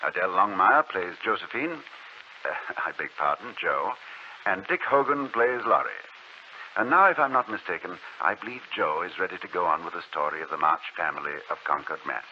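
A man's voice: deep voice, expressive, brisk. A man hosting a 1940s radio program in a courteous, formal manner. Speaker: radio show host. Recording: muffled 1940s radio recording, faint noise.

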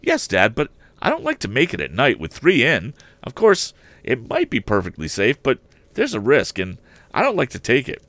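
A man's voice: mid-range voice, huge pitch swings, moderate pace, fairly bright tone, no room sound, voice pushed up, exaggerated voice. A man voicing an earnest, cautious young inventor talking to his father in a storybook reading. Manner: soothing, questioning, eager.

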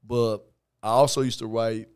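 A man in his thirties with a raspy voice, a rapper talking slowly with many fillers as a guest in a podcast studio. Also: medium pitch, level tone, a little mellow. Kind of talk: casual interview chat. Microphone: headphones and studio mic on boom.

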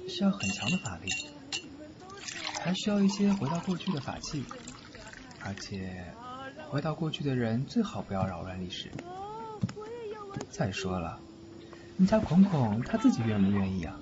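A man as a teasing, lively godfather. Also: mid-range voice, moderate pace, long pauses, lightly acted. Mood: knowing, explaining. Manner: teasing, theatrical. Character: teasing, age-shy godfather who knows spirit magic. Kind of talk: Chinese audio drama acting. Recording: muffled lo-fi, faint noise.

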